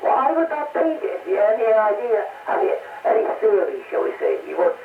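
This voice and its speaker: An older man with a North East English accent chatting in a halting, chuckling way over CB radio. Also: sits high, big pitch swings, gravelly, reverberant. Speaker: amateur CB radio operator. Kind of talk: casual radio chat. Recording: noisy, narrow-band, distant CB radio transmission.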